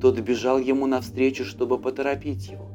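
A man narrating a fairy tale as an audiobook, with an expressive, dramatic storyteller's delivery. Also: medium pitch, brisk. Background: faint music.

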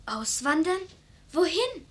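An eager young child in a radio drama. The voice is extremely high and insistent, full of impatient questioning. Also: female voice, very animated, slow pace, full character voice. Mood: eager, excited. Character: eager young child pestering mama about emigrating.

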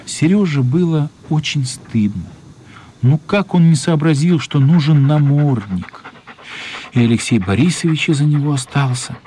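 An actor narrating a children's radio play as an expressive, literary storyteller. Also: male voice, fairly high pitch, moderate pace, slight background noise, close to an everyday voice. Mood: storytelling.

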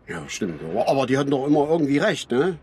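An actor playing a restless, rough but tender working-class father in a radio drama, talking in a halting, colloquial way and repeating himself. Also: medium pitch, very animated, a little mellow. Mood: gruffly coaxing. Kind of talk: naturalistic radio drama dialogue and narration.

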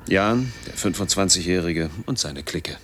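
Embittered fugitive from noise, middle-aged, low-pitched, brooding, clipped dramatic fragments, literary radio monologue, clearly noisy background. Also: male voice, lively intonation, normal speed, fairly bright tone. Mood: grim, hushed. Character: embittered man in his forties, fleeing from noise.

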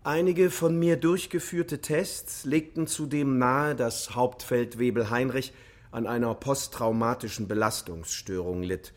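A male actor as a military psychologist on the witness stand in a radio drama. His mid-pitched voice stays sober and factual, explaining everything with clinical precision. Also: unhurried, lightly acted.

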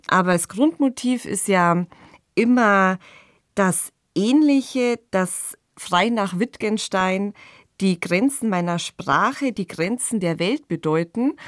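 Female co-host, medium pitch, unhurried thoughtful analysis, studio mic. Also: no room sound. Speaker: podcast co-host.

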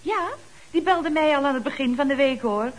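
A woman's voice: high-pitched, moderate pace, natural voice. A woman playing a chatty, ironic secretary at a building firm in a Dutch radio comedy serial, speaking with arch, mock-polite expressiveness. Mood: sweetly pointed. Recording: noisy old radio recording.

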